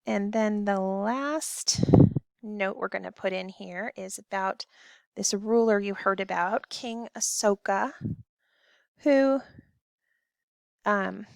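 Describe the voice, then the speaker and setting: Unhurried woman teacher, close dry sound. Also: mid-range voice, vocal fry, no echo. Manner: addresses children directly with simple step-by-step directions. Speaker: elementary school teacher. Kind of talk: recorded classroom lesson, step-by-step instruction.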